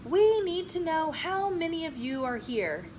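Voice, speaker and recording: A woman's voice: very high-pitched, very animated, unhurried, a little mellow, voice pushed up, exaggerated voice. A woman voicing a commanding, helpful nobleman who organizes crowds in a children's storybook, in a declamatory way. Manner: calling out, declamatory. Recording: clearly noisy room with ambient sound.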